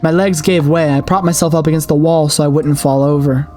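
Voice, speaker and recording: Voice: medium pitch, fairly even intonation, fairly quick, a little mellow, faint music behind. A streamer reading a horror story aloud with dramatic expression and the occasional stumble.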